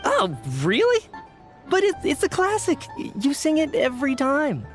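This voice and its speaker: A man's voice: extremely high pitch, huge pitch swings, normal speed, heavily acted. A man voicing an earnest, flustered researcher in a video game, hesitant and prone to stammering. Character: flustered, earnest male researcher, an apologetic theater fan. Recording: music under the voice.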